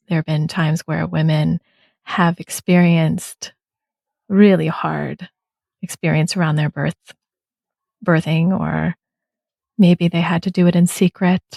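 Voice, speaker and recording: A woman hosting an interview podcast, speaking gently and affirming her guest with soft agreement. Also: on the low side, creaky, no room sound, clean studio sound. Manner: gentle, affirming, lots of mm-hmms. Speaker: podcast host. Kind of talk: casual interview chat.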